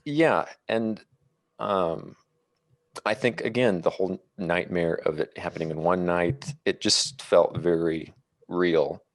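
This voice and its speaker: A man chatting casually about films on a podcast in a measured, reflective way. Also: sits low, dry recording. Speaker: podcast co-host. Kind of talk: casual film discussion.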